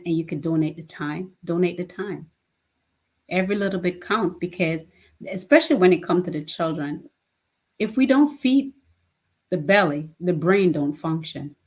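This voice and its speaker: A woman in her fifties speaks with a lower pitch than most, plain-spoken and earnest. She answers questions in a relaxed interview and laughs easily. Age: 50s. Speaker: guest, nonprofit founder. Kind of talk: casual interview conversation.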